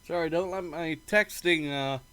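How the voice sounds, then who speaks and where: A man's voice: on the high side, moderate pace, pushed and bright, smooth. A man streaming a game, reading its narration aloud expressively, sometimes stumbling and breaking into casual asides.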